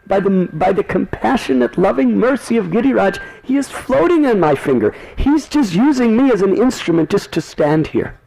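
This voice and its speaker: A man voicing a cheerful, calm young divine cowherd boy who answers lightly and with a smile. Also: high voice, normal speed, vocal fry, hardly any pauses, pitched above the performer's own voice, exaggerated voice, low-quality recording. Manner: light, smiling, reassuring.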